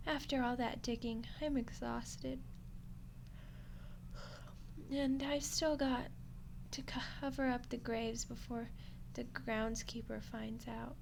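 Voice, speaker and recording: A woman's voice: on the high side, steady tone, a little slow. A woman voicing a friendly, lonely undead skeleton girl in an ASMR roleplay, chatting casually and awkwardly to the listener. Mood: friendly, tired. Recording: clear background noise and ambience.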